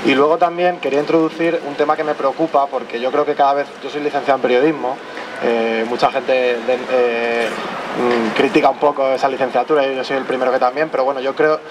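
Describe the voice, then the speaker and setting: Rich: An earnest young man speaks up at an outdoor neighborhood assembly. His pitch sits in the middle of a man's range. He talks haltingly, restarts his phrases and keeps checking in with the crowd, while city traffic rumbles clearly behind him. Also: steady tone. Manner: earnest, halting, many restarts and tag questions. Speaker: audience member at a public assembly. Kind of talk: spontaneous public debate contribution. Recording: outdoor recording with clear street noise. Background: traffic and city noise.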